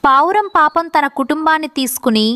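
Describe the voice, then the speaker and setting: A woman reading scripted narration for a children's animated story. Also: high voice, fairly quick, dry recording, clean studio sound.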